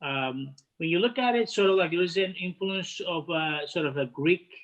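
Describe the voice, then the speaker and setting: Halting Turkish-accented man in his fifties. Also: fairly high pitch, a bit bright, highs cut off. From Turkey. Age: 50s. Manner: halting, many "uh" fillers and restarts. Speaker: guest, head of catering. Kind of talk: casual interview chat.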